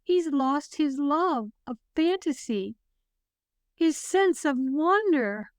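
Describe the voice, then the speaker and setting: A woman's voice: high voice, animated, slow, dry recording, clean studio sound. A woman playing a gracious, solemn, motherly queen in a fantasy audio play, speaking in a stately, formal way. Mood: grave.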